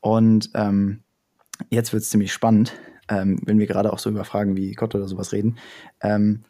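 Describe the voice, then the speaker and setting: A man's voice: fairly low pitch, little pitch movement, normal speed, dark tone. A young man in casual chat, explaining ideas step by step with frequent fillers and restarts.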